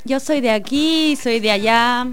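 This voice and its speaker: A woman's voice: a little high, unhurried, soft background music. A woman guest on a radio program, introducing herself and her background in a friendly way.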